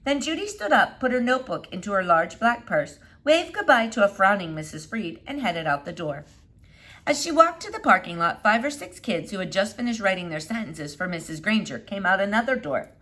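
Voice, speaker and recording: A woman narrating a children's novel in an expressive read-aloud style. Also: medium pitch, brisk. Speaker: storybook reader.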